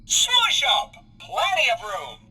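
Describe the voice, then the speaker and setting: A man voicing a cheerful robot bus driver from a video game, chirping slow announcements in a raspy, mechanical voice. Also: extremely high pitch, huge pitch swings, sharp tone, heavily acted, rough recording. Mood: cheerful, announcing. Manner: chirpy, slow, sing-song public announcements. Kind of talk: video game character voice lines.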